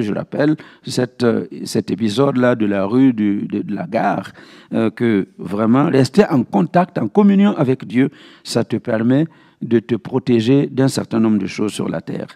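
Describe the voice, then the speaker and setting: Male pastor for Bible study teaching; creaky, relaxed voice, didactic and repetitive for emphasis. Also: medium pitch. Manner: didactic, repeats key phrases for emphasis. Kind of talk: Bible teaching with verse citations.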